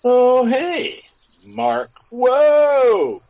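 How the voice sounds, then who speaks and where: A man voicing a goofy, boozy, defensive cowboy gunslinger in an audio story, with excitable delivery. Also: extremely high pitch, very slow, pitched above the performer's own voice, heavily acted. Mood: bubbly, startled.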